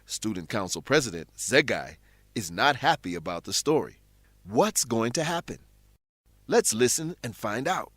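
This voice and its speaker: A man narrating a scripted introduction to a radio drama in a clear, presentational way. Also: medium pitch, big pitch swings, normal speed, a bit bright.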